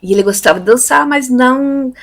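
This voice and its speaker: A woman in her fifties from Brazil, telling her life story in a relaxed interview, pausing often with fillers and repeated words. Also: sits high. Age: 50s. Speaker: interviewee, theology graduate. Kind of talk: casual conversational interview.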